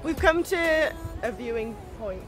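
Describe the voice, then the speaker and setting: A woman's voice: fairly high pitch, lively intonation, measured pace, music in the background. A woman in her twenties chatting casually as she films a travel vlog outdoors on a phone. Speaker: vlogger. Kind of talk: casual chat while filming a travel vlog. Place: outdoors on a beach and shoreline. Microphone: phone at arm's length. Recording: windy outdoor phone recording with surf. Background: wind and waves.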